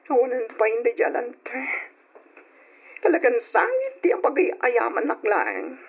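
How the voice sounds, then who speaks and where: Heartbroken young ilocano woman, radio drama, high swoopy voice, slow, muffled old recording. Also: huge pitch swings, breathy edge, heavily acted. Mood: lovesick. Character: dramatic heartbroken young woman, stubborn about quitting school.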